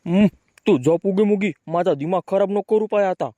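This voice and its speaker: A man's voice: high-pitched, a little fast, warm tone, no room sound, natural voice. A man voicing a brash, grumbling young village man with a rural Marathi accent, bickering in slang in a comic cartoon. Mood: grumbling banter.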